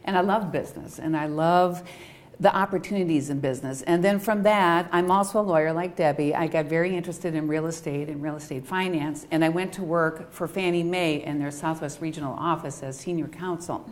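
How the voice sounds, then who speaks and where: A woman's voice: low voice, brisk. Middle-aged woman speaking with emphasis. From Minnesota, United States. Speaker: political discussion panelist. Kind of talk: casual personal introduction to a group.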